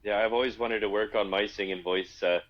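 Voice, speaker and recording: A man with a low voice, a musician guest, answering in a relaxed, thoughtful, halting way. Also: muffled recording. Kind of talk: casual interview chat.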